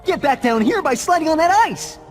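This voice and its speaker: Small cartoon possum, male, high and very animated, scheming, music behind. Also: brisk. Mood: frantic excitement. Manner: excitable, conspiratorial.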